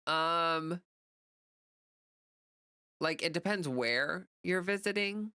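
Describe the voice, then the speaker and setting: A woman's voice: lowish voice, lively intonation, drawn-out words, sharp tone, clear, resonant tone, no room sound, studio quality. An American woman livestreamer chatting casually and off the cuff, rambling informally as she answers viewer comments. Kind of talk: casual unscripted chat.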